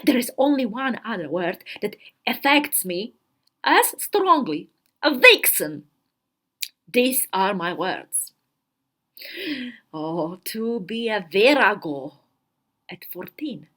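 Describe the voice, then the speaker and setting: A woman voicing a haughty, melodramatic fourteen-year-old girl from a story diary, grandiose and theatrical with drawn-out emphasis. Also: on the high side, big pitch swings, a bit bright, exaggerated voice. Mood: grandiose yearning.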